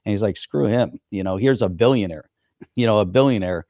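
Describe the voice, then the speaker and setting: A man's voice: lowish voice, brisk, mellow, no room sound, clean studio sound. A man co-hosting a live talk show, chatting casually and laughing along with his partner. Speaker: co-host. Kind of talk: casual political chat.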